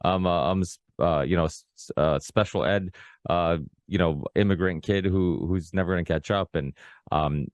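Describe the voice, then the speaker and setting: Deep-voiced Portuguese-born man, rambling chat. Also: moderate pace, smooth, dry recording. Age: about 40. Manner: rambling, full of restarts and "you know, like". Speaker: podcast host. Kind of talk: casual long-form conversation.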